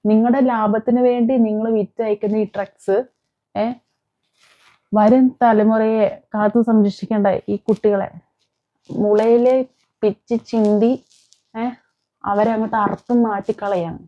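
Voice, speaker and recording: A woman's voice: medium pitch, level tone, measured pace, pauses often, mellow, highs cut off. A woman giving emphatic, opinionated commentary on crime as a vlog host, repeating phrases and posing rhetorical questions. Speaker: vlogger, host.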